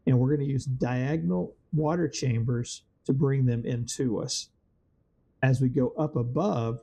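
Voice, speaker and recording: Let's-play host, man, a bit hoarse, dark mellow tone, meandering and filler-heavy, easygoing commentary. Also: medium pitch. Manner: rambling, thinks aloud with many fillers. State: slightly hoarse, voice worn from weather change. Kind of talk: casual gameplay commentary.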